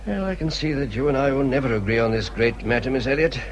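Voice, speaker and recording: An actor playing a kindly, courteous naval captain in a period literary drama, affable and gentlemanly. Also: male voice, mid-range voice, lively intonation, fast, lightly acted, rough recording. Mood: genial. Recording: busy crowd chatter behind.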